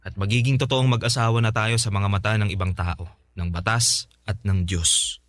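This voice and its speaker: An actor playing a devoted young boyfriend in a radio drama, speaking tenderly and sincerely. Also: male voice, lowish voice, fairly even intonation, moderate pace, fairly bright tone, no room sound, lightly acted. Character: devoted young live-in boyfriend promising marriage. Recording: faint music behind.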